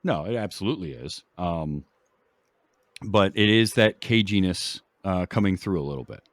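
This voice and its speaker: A man's voice: low voice, lively intonation, normal speed, no echo. A man hosting a podcast, chatting casually about film in a rambling, enthusiastic way. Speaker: podcast host.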